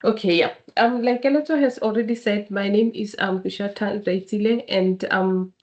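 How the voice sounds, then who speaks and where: A woman's voice: mid-range voice, fairly even intonation, normal speed, darkish tone, dull audio. A woman from Botswana with a cold, giving a keynote talk on a video call, hesitant and full of ums. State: has a cold. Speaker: keynote speaker. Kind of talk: online webinar presentation.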